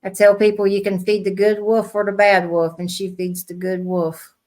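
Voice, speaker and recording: A woman's voice: medium pitch, level tone, normal speed, a little mellow. A woman hosting a podcast, speaking in a casual, conversational interview style. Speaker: podcast host.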